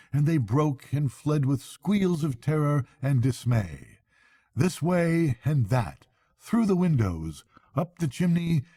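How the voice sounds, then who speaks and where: An older man reading a bedtime story as a grandfather would, in expressive storybook narration. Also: medium pitch, slightly rough, no room sound.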